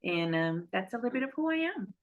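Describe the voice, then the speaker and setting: A woman's voice: medium pitch, very animated, fast talker, highs cut off. A middle-aged woman with a Puerto Rican accent, a native Spanish speaker, answers interview questions conversationally and earnestly, often repeating words as she goes. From Puerto Rico. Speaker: interview guest, neurologist and medical director. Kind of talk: conversational interview answers about life and work.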